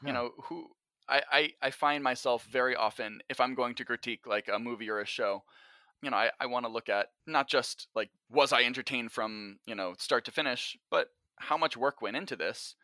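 A man's voice: medium pitch, brisk, sharp tone, dry recording. A man in a casual, reflective conversation as a podcast guest, speaking candidly and hesitantly in a self-effacing way. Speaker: podcast guest, actor and writer of audio drama.

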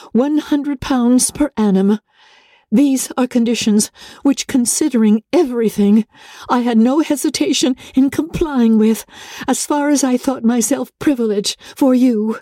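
An earnest, formal uncle of a period novel setting out family business, read by a woman. The tone is mellow and careful. The sound is dry and close, with a businesslike storybook delivery. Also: on the high side, no echo, close to an everyday voice. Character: earnest middle-class uncle reading out his letter.

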